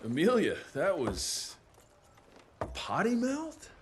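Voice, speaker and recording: An actor playing a wry, skeptical vampire hunter in a video game, dry and laconic. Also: male voice, high voice, huge pitch swings, takes it slowly, in a large hall, slight background noise, heavily acted. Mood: wry challenge. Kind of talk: video game cutscene acting.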